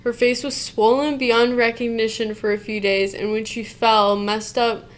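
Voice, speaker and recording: A woman's voice: a little high, level tone, moderate pace. A woman reading a post aloud and breaking off into blunt reactions that swear casually, sometimes stumbling and restarting mid-sentence. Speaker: co-host reacting to a Reddit post.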